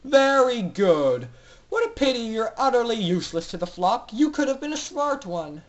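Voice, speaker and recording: Man voicing grandiose sadistic villain scientist, high-pitched. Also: sing-song, normal speed. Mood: mock-polite, menacing. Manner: commanding, mock-polite, theatrical. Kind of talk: dramatic fan fiction reading.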